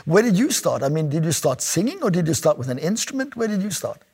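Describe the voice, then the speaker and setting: Fast-talking, husky male host. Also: on the high side, very animated, darkish tone. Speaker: interviewer, host. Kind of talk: conversational interview.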